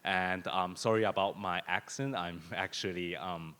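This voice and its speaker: A man with an Australian accent speaks on a conference panel. His voice sits very low, and his delivery is halting, with frequent pauses between phrases. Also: fairly even intonation, pushed and bright, smooth, in a large hall. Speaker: panelist, lawyer. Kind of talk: panel self-introduction. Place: conference hall.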